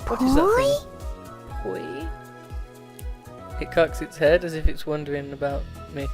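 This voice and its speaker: A man's voice: on the high side, huge pitch swings, fairly quick, over music. A man hosting a let's play, reading a visual novel aloud with stumbles, self-corrections and laughs.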